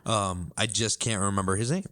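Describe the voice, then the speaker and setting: A young man hosting a podcast, chatting casually and telling a story with bursts of excitement. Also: no room sound. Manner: animated storyteller, quick bursts and excited jumps in pitch. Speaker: podcast host and basketball commentator. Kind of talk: casual chat.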